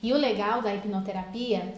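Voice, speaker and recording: A woman's voice: medium pitch, normal speed, darkish tone. A woman, a hypnotherapist, chatting persuasively with a guest and often adding tag questions. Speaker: hypnotherapist host. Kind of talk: casual interview chat. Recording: roomy echo.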